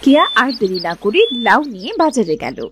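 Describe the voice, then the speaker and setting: A woman's voice: mid-range voice, very animated, brisk, a bit bright, a little hiss or hum. A woman narrator telling a scripted children's-storybook style tale.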